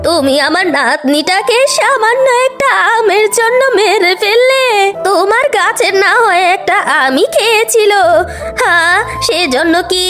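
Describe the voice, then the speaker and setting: A woman's voice: very high-pitched, lively intonation, measured pace, music in the background. A woman playing a fretful, doting old village grandmother in a cartoon, speaking in plaintive, wailing exclamations. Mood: indignant, tearful. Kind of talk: dramatic cartoon voice acting.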